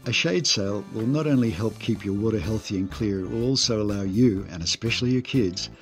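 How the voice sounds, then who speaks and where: Male owner reading a measured voice-over, lower voice, soft music under it. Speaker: eco lodge owner. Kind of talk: scripted voice-over narration.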